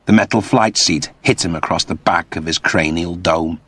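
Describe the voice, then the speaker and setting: A man reading a scripted story as an expressive audiobook narrator. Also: sits low, moderate pace, husky, no echo.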